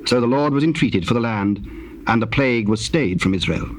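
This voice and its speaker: A man narrating dramatized scripture in a measured, formal manner. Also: medium pitch, expressive. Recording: faintly noisy old cassette tape.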